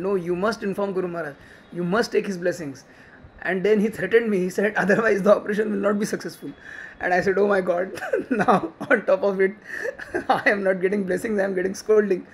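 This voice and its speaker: A man with a thick Indian accent tells personal memories to a gathered audience, earnest and warm in his storytelling, often breaking into a light laugh. His voice sits a little high for a man. A faint hum of the room stays behind him. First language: Hindi. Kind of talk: personal remembrance talk.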